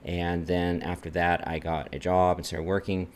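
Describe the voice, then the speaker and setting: Deep-voiced American man. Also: little pitch movement, normal speed, darkish tone, slight background noise. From Texas, United States. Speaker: vlogger. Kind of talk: personal talk to camera, numbered list.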